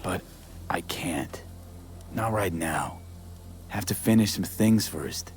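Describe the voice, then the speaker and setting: An actor playing a reserved, weary mercenary with a laconic, understated delivery in a video game. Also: male voice, sits low, lively intonation, slow pace, slight background noise. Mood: regretful. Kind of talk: cinematic video game acting.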